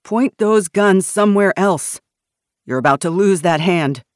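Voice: mid-range voice, expressive, a little fast, no echo, lightly acted. A tense, commanding armed guard as an audiobook narrator plays him barks clipped orders. The voice is raised and close, in a very dry recording. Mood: barking, tense. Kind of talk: audiobook character dialogue.

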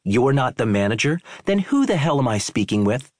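A man's voice: medium pitch, big pitch swings, normal speed, no echo, studio quality, pitched above the performer's own voice. A man doing a blustering angry tourist. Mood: indignant. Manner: blustering, indignant. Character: red-faced angry male tourist, demanding and bullying.

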